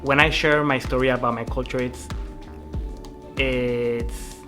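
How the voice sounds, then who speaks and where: A man born in Mexico and a native Spanish speaker, talking in an interview and halting often, repeating words as he searches for them. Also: medium pitch, fairly even intonation, pushed and bright. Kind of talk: interview testimony. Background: background music.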